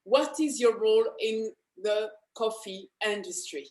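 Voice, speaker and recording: Bright-voiced woman host in an echoey room. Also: a little high, unhurried. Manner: upbeat, with filler-heavy and restarted sentences. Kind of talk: casual interview hosting.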